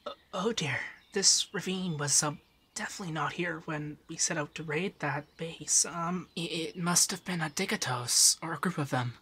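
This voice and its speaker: Soft-spoken flirty femboy monster companion, acted by a man. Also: on the high side, normal speed, husky, full character voice. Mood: bashful, hesitant. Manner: flirty, gently teasing, shyly stammering. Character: affectionate, strong, teasing male Lovander, a femboy monster companion. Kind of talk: ASMR roleplay.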